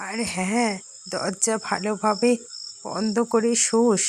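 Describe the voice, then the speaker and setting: A woman's voice: medium pitch, steady tone, slow. A woman playing a worried, caring middle-aged mother who cautions her grown son in a horror cartoon. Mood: caring, concerned. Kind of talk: Bengali horror cartoon dialogue. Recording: faint noise with chirping crickets.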